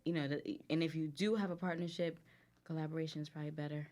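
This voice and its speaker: A woman's voice: low voice, fairly even intonation, fast, a little mellow. A woman with a Guyanese accent, a guest entrepreneur in a chatty, candid conversation. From United States, Guyanese family. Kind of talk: casual chat over cocktails.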